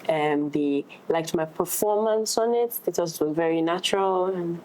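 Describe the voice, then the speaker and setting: Low-pitched Ghanaian woman in casual chat. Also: measured pace, darkish tone, slight background noise. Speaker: actress, discussion participant.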